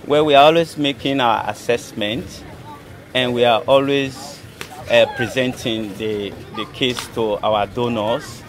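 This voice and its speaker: A man giving a halting interview answer as a church official. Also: mid-range voice. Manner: halting, phrase by phrase. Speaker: church administrative clerk being interviewed. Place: outdoor yard with people around. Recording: outdoor interview with crowd chatter.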